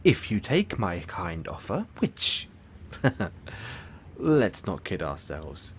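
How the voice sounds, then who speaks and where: A man's voice: sits low, huge pitch swings, slow pace, a little hiss or hum, full character voice. Man acting a theatrical villain, wildly swooping delivery. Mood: grand, smug wooing. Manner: theatrical, pompous, grandiloquent. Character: flamboyant, smug male villain, lovestruck and vain. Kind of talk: audio roleplay acting addressed to the listener.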